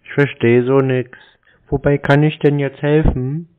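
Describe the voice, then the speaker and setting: A man in his twenties on a phone call, speaking in a terse, deadpan, deliberately obtuse way. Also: medium pitch, clear, resonant tone. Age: 20s. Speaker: scambaiter taking scam calls. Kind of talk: prank phone conversation. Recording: narrow-band, dull phone-call audio.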